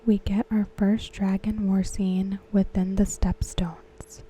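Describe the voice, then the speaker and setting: A woman whispering softly as an ASMR host, talking through a TV episode. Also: mid-range voice, little pitch movement, slow pace, a little hiss or hum. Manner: whispered, unvoiced and close. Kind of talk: soft-spoken ASMR commentary.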